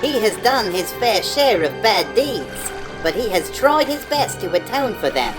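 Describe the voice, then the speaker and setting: A woman voicing a tiny, friendly yellow finch in a storybook, chatty, polite and expressive. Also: medium pitch, measured pace, over music. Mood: earnest, puzzled. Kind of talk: storybook character voice.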